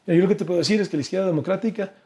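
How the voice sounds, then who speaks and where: High, mellow-voiced Ecuadorian male politician. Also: very fast. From Guayaquil, Ecuador. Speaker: political candidate being interviewed. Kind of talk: persuasive political interview talk.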